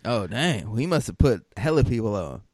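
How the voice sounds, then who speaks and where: A man's voice: lowish voice, very animated, a little fast, a little mellow, no room sound, clean studio sound. A man co-hosting a podcast in casual chat, telling stories in loose slang with lots of fillers. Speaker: podcast co-host.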